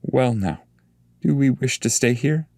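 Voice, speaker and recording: A man's voice: mid-range voice, animated, unhurried, gravelly, mellow, dry recording, studio quality, voice pushed up. A man voicing a wise, fatherly poet guide in a dramatic reading, earnest and coaxing.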